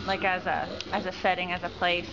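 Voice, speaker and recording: A woman's voice: fairly low pitch, completely flat delivery, a little fast, loud music behind the voice. A woman interviewer asking short, casual questions. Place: inside a busy barber shop. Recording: dull sound, very noisy shop.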